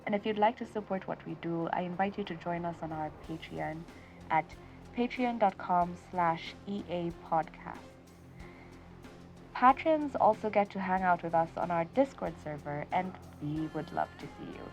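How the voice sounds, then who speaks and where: A Kenyan woman hosts a fiction podcast in a slightly low voice. She talks expressively, leaning hard on key words and stretching them out. Soft music plays underneath her. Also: normal speed. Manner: expressive, emphatic, lingers on words. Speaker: podcast host. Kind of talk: warm outro chat.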